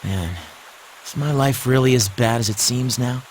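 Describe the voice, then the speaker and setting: A man voicing a brash, moody high school boy in an anime game, talking in casual, expressive slang. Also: normal speed, a little hiss or hum, lightly acted, poor audio. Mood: downcast, reflective.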